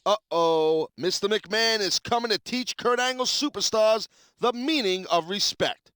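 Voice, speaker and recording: An actor playing a streetwise, excitable color commentator in a wrestling game. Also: male voice, sits high, measured pace, smooth, no room sound, clean studio sound. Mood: ominous. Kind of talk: sports commentary in a video game.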